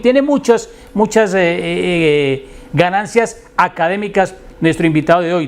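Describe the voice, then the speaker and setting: A man with a Colombian accent hosting a conversational interview in a courteous, effusive and wordy manner. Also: high-pitched. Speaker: interview show host.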